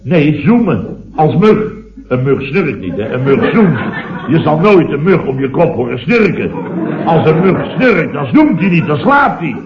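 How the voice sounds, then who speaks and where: A man's voice: a little high, big pitch swings, unhurried, crowd noise. An actor playing a flustered, long-winded married man rambling emphatically in a 1970s radio comedy sketch. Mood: aggrieved. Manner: rambling, emphatic, peppered with tag questions. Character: married man, flustered long-winded comic storyteller complaining about wife. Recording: dull old radio recording, roomy, audience laughter.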